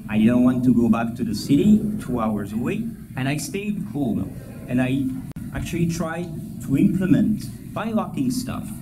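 A man's voice: medium pitch, noisy background. A middle-aged man with a French accent gives an informal, joking talk on a conference stage, telling personal stories. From France. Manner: conversational, joking. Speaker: conference speaker, entrepreneur and endurance athlete. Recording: music bed over stage talk. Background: clear, loud music.

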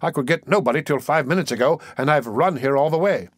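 A man's voice: fairly high pitch, fast, breathy edge, no room sound. A man acts out an elderly, poor bookstall keeper from a classic tale. He sounds breathless and earnest, pressing his point insistently in a raised voice, recorded dry and close. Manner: urgent, insistent, pleading.

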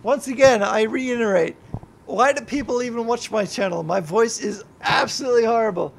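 A drunk young man rambling and swearing through a gameplay livestream, yelling at the game now and then. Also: very high-pitched, normal speed, gravelly, noisy background. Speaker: YouTuber and streamer.

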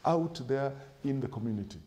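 A middle-aged man, a pastor, answering in a studio interview with a measured, emphatic preaching style, building points in lists and quoting scripture, on a lapel mic. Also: medium pitch, expressive, dark tone. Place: TV studio set with sofas.

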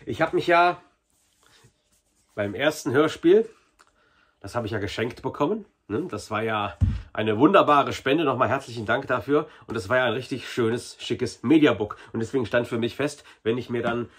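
Casting: male reviewer, forties, a little husky, informal and enthusiastic fan chatter. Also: mid-range voice, normal speed, fairly bright tone. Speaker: video reviewer. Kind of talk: casual unboxing review to camera.

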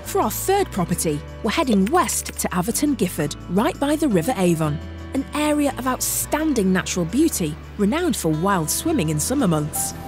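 A British woman narrating a polished voice-over with a bright, confident delivery. Her pitch moves in a lively, animated way. Faint music sits under her words. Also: moderate pace. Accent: British English. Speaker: narrator of property show.

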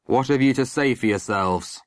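A stern middle-aged police sergeant played by an audiobook narrator, a man used to giving orders. He is gruff and curt, at a middle pitch, in a dry storybook recording. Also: normal speed, no echo, close to an everyday voice. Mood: angry, stern.

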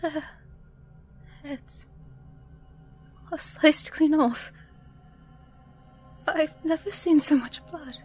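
A woman's voice: sits high, very slow, long pauses, darkish tone, soft background music. A British woman playing an anxious, protective superheroine in an audio roleplay, urgent and distressed. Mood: horrified, urgent.

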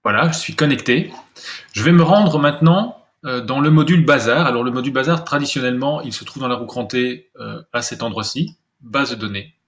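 A man's voice: medium pitch, a little fast, a bit bright. A man presenting a screencast tutorial step by step, thinking aloud with some hesitation as he demonstrates.

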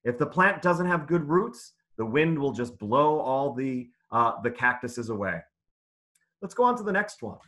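Male read-aloud teacher for young kids: bright, expressive pitch, clear instructions, encouraging delivery. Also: a little slow. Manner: instructive, simple phrasing for young children, encouraging. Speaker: author and teacher reading aloud. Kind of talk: interactive children's read-aloud lesson over video call.

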